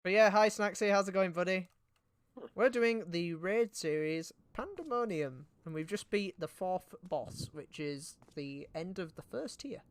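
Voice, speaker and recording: A British man streaming a game, chatty and quick to laugh as he talks to his viewers. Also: high-pitched, animated, clear, resonant tone, dry recording. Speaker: livestreamer. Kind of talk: casual gaming stream chat.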